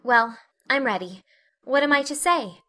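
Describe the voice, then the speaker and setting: A woman's voice: high voice, lively intonation, unhurried, bright, forceful tone, pitched above the performer's own voice, full character voice. Woman reading as a dreamy, chatty young girl. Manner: eager, earnest, rambling. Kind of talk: audiobook character reading.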